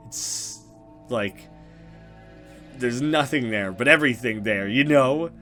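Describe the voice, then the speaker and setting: A man's voice: medium pitch, slow pace, bright, forceful tone, faint music behind. A man doing casual let's play commentary, rambling through wry asides as he goes. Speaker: gaming video host. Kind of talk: casual let's play commentary, reading game text aloud.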